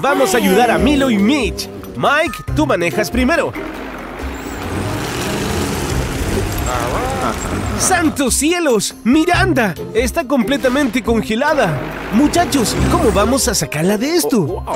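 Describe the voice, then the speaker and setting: Kids' cartoon narrator, very high and swooping, cheerful, encouraging, exclamatory, loud music underneath. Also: male voice, sing-song, moderate pace. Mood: excited. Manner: exclamatory, encouraging, addresses the characters directly. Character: cheerful, encouraging male narrator guiding monster trucks. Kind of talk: animated children's cartoon narration.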